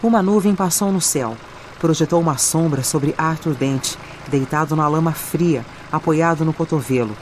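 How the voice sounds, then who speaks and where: A woman's voice: low voice, moderate pace, a little mellow, a little hiss or hum. A woman with a Brazilian accent reading scripted audiobook narration. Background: music and added sound effects.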